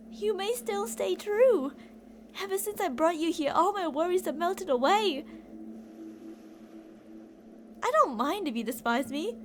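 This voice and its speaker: A woman's voice: very high voice, slight background noise. A woman playing an obsessive, delusional young captor in an intimate, rambling ASMR roleplay monologue addressed to a captive. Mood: fervent devotion.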